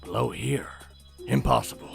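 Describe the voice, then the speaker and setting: A performer voicing a gruff, dim dog henchman in a parody cartoon, growling clipped, melodramatic exclamations. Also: mid-range voice, measured pace, faint music behind. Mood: terse, menacing. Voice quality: gravelly growl. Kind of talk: fan parody cartoon dub.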